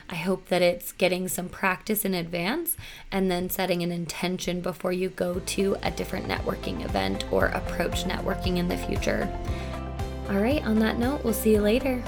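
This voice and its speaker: A woman in her thirties hosting her podcast, slightly hoarse after losing her voice, talking in a chatty, earnest and self-reflective way. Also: fairly low pitch. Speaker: podcast host. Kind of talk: solo podcast talk.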